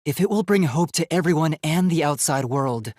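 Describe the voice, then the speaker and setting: K1-B0, earnest husky-voiced young robot. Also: male voice, a little high, expressive, moderate pace, darkish tone, no room sound, clean studio sound. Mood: fierce, defiant. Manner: earnest, formal, emphatic delivery. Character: earnest, determined young male robot, idealistic and emotional. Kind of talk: dramatic video game voice acting.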